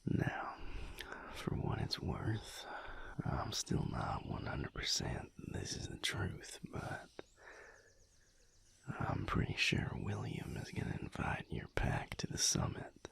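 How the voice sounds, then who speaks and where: A man playing a laid-back, affectionate vampire lover in an intimate audio roleplay, with a Southern US drawl and a breathy, gravelly murmur, teasing lazily. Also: deep voice, huge pitch swings, slow pace, a bit bright, in a whisper, heavily acted. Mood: playful teasing. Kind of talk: intimate audio roleplay, whispered pillow talk.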